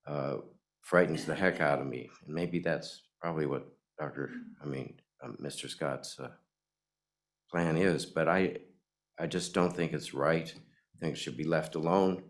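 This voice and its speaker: An older man, a retired pediatrician with a gravelly voice and a cold, giving earnest public testimony to a committee. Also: a bass voice, a little slow. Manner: earnest, hesitant with fillers. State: has a cold. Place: legislative committee hearing room.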